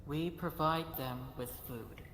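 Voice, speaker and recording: A man playing an earnest, formal creature negotiator in an online roleplay, choosing words in a measured, halting way. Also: a little high, a bit bright. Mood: explanatory. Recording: echoey noisy voice chat.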